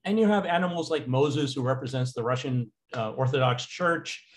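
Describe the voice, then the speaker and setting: A man teaching a recorded slideshow lecture in a relaxed way, with frequent fillers. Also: medium pitch, moderate pace.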